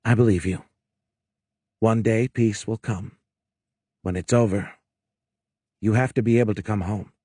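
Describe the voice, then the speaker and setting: A man's voice: lowish voice, steady tone, unhurried, pauses often, mellow, slightly rough, no room sound, clean studio sound. A man voicing a young woman of the war years, caring, wry and bold, with an emphatic manner, in an audiobook. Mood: tender, urging.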